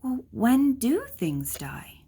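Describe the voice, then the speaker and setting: A woman reads a children's picture book aloud in a gentle, explanatory way, asking questions and adding asides for young listeners. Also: a little high, sing-song, slow, vocal fry, breathy edge.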